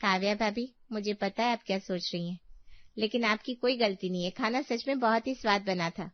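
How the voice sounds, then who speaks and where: A woman playing a calm, supportive young sister-in-law in a family story, with an understanding, reassuring manner. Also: mid-range voice, moderate pace, muffled recording, lightly acted. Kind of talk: acted dialogue in a Hindi family story.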